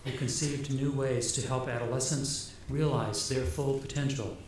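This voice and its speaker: A man's voice: medium pitch, fairly even intonation, moderate pace, a little mellow. Man reading a citation in an echoey hall. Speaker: provost reading an award citation. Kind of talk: formal scripted award citation. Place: large ceremony hall. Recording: very echoey hall, faint noise.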